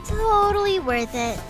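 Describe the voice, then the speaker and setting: A young cartoon dragon girl with a very high, swooping voice. She drawls her words slowly and lazily, playful in the manner of a video game heroine, over loud music. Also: full character voice.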